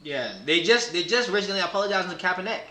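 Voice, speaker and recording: A man hosting a reaction video, talking in excitable slang and hyping up his guest. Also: sits high, fast. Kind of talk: casual reaction chat. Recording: echoey room with faint noise.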